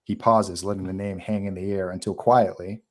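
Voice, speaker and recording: A man's voice: low-pitched, steady tone, normal speed. A man reading scene directions as the narrator of a live script table read.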